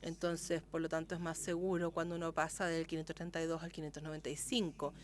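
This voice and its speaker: A woman's voice: low voice, little pitch movement, fast talker, heavy background noise. A woman giving live interpretation of a medical lecture, speaking steadily and often correcting herself mid-word.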